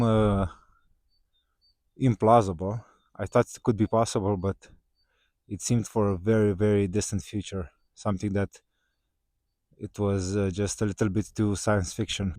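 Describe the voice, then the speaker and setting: A man whose first language is Romanian, talking casually to his viewers with many "uh" fillers and tag questions, growing more animated in places. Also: on the low side, no room sound. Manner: conversational, many "uh" fillers and "right?" tags, rising when excited. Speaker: vlogger. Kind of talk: casual talk to camera.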